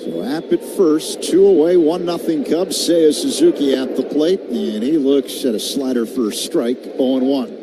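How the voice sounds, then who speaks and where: A man's voice: fairly high pitch, normal speed, hardly any pauses. An American man calling live baseball play-by-play on the radio. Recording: clearly audible stadium ambience noise. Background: ballpark ambience.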